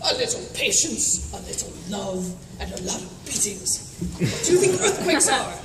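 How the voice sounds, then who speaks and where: A woman's voice: mid-range voice, big pitch swings, normal speed, lightly acted. A woman playing a pompous, insulting queen of an underground empire in comedic radio theatre. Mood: boastful. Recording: echoey noisy hall, far from mic.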